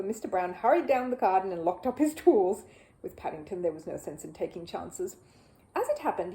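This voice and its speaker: Animated, mellow-voiced woman storyteller. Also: medium pitch, rough recording. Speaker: storyteller reading a children's book aloud. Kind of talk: expressive storybook narration.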